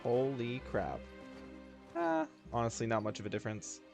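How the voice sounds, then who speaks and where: A man's voice: mid-range voice, huge pitch swings, moderate pace, vocal fry, darkish tone. A man livestreaming a video game in casual, slangy, joking and self-deprecating chat. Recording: game music behind the voice. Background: video game music.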